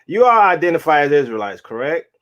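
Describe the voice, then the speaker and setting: Interview host, man. Casual and easygoing, slightly high voice. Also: fairly quick, lo-fi sound. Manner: relaxed, laughing, casual.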